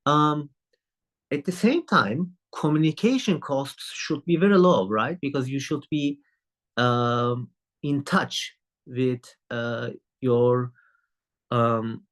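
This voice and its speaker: A man from Turkey with a Turkish accent, English not his first language, giving a recorded university lecture over a video call, often checking in with "right?" and pausing on "um". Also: mid-range voice, a bit bright. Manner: frequent tag question "right?" and filler "um". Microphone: computer mic on a video call.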